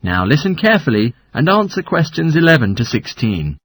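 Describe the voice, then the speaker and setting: A man with a husky voice reading scripted exam instructions as a test narrator. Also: on the high side, very animated, a little fast, a bit bright, dry recording.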